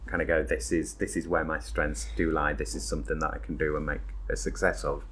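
A man's voice: very deep voice, flat delivery, a little fast, a bit bright, breathy edge. A man hosting a podcast interview, hesitant and searching for words as he frames his questions. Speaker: podcast host. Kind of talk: casual interview.

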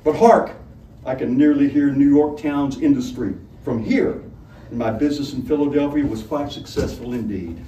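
A man voicing a tipsy young gentleman traveller with slurred, genteel phrasing, in a story reading. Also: mid-range voice, normal speed, lightly acted. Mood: tipsy, airy. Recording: roomy, distant mic, background noise.

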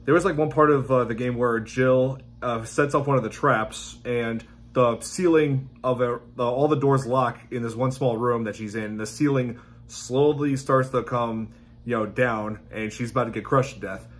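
A man in his thirties hosting a casual reaction video in an amused, halting way, often laughing as he talks. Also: medium pitch, fairly even intonation. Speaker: YouTube host reacting to video game clips. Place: small indoor room at home.

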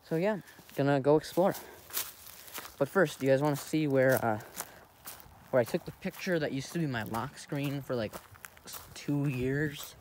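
A man's voice: medium pitch, moderate pace, pauses often. A young American man rambling casually to camera in an offhand, easygoing way, recorded outdoors on a phone. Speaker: vlogger. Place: outdoors on a lake in a kayak and on shore. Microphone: phone held or propped close. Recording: noisy outdoor wind and water noise.

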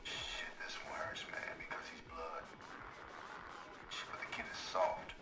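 A man's voice: a little slow, roomy sound, whispered, over music, close to an everyday voice. A man playing an ambitious, brooding young American street gang boss in a video game, swaggering, slangy and profane. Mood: bitter, brooding. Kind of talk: audio diary monologue, game voice acting.

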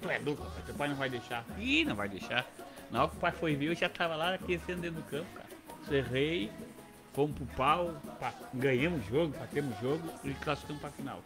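A man in his fifties with a southern Brazilian accent tells stories in casual podcast style. His voice sits a little high and he repeats phrases for emphasis, with music clearly heard behind him. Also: animated, fast talker. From Criciúma, southern Brazil. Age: 50s. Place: small indoor podcast studio with several people at a table. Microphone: close studio mic on boom arm.

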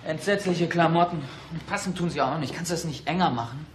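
A man's voice: a little high, fast talker, roomy sound, slight background noise, lightly acted. An actor playing a young policeman, a grumbling, teasing son, in a radio play. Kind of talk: German radio play acting.

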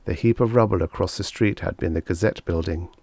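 A man narrating a story as an audiobook reader, measured and expressive. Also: deep voice, creaky, no echo.

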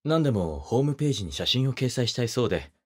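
An actor playing a polite, gentlemanly young wedding photographer who speaks softly and teases the listener in an audio drama. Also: male voice, mid-range voice, big pitch swings, quick pace, husky. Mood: polite, gentle. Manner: soft-spoken, polite, teasing.

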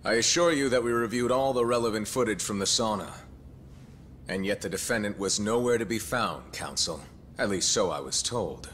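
A man's voice: fairly low pitch, normal speed, a bit bright, a little hiss or hum, close to an everyday voice. A man playing an abrasive, hot-tempered prosecutor in a video game, speaking in a cutting, formal, pointed way. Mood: formal, defensive. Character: abrasive, accusatory male prosecutor, hot-tempered and self-righteous. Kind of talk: English-dub video game cutscene acting.

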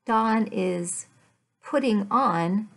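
A middle-aged woman teaching English, speaking deliberately with careful enunciation and repeating simple phrases for learners. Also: mid-range voice. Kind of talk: slow, simplified language lesson read aloud. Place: furnished room at home.

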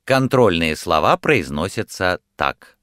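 Deep-voiced man reading course instructions. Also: sing-song, a bit bright, no room sound, clean studio sound. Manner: clear, measured instructional delivery. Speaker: course narrator giving instructions. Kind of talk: scripted language-course instructions.

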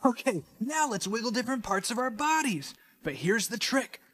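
An excited man in his thirties presenting a children's show with energetic, exclamatory delivery. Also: very high-pitched, normal speed.

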